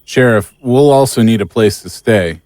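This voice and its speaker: A man's voice: on the low side, normal speed, a little mellow, dry recording, clean studio sound, close to an everyday voice. A man playing a straightforward paranormal investigator in an audio drama. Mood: practical, questioning. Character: straightforward male member of paranormal investigator team.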